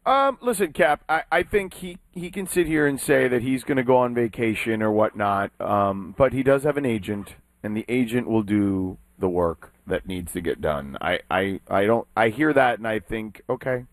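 Stammering sports radio co-host, dry sound. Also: male voice, on the low side, lively intonation, normal speed, hardly any pauses, dry recording. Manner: hesitant, stammering restarts before making his point. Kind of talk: morning sports radio debate.

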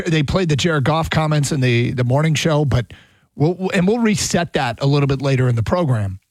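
A man with a somewhat high, husky voice hosts a sports radio show. He talks casually and thinks aloud, often restarting his sentences. The sound is dry and close to the mic. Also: no echo.

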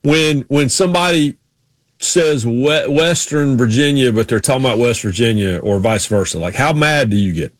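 A man's voice: mid-range voice, lively intonation, moderate pace, no room sound. Chatty male sports radio co-host, close dry sound. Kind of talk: casual chat and anecdotes.

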